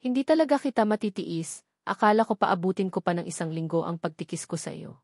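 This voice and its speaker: A woman's voice: on the low side, lively intonation, fairly quick, darkish tone, slightly rough, no room sound, clean studio sound. A woman with a Filipino accent voicing a hurt, loving and apologetic husband in a romance audiobook, earnest and pleading. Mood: apologetic, tender.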